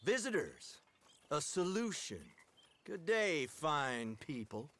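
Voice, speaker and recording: An actor playing a coldly polite, smug detective agent in a video game, pompous and mock-courteous. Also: male voice, fairly high pitch, very animated, takes it slowly, a bit bright, heavily acted. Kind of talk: dramatic video game cutscene acting.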